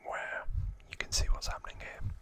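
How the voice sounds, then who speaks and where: Guy doing asmr whisper explainer, super breathy n bright, really slow with lots of pauses. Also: no room sound. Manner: slow, hushed, deliberate phrasing with pauses. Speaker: ASMR video host. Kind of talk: whispered ASMR explainer, scripted-feeling trivia retelling with asides.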